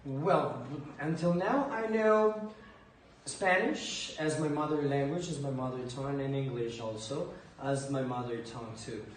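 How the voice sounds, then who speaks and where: A man in his thirties from Ecuador, a teacher answering interview questions in a relaxed, hesitant way, often repeating words as he thinks. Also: medium pitch, unhurried. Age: 30s. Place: school library with bookshelves, indoors. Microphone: far camera across a desk. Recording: echoey room from a distance, faint noise.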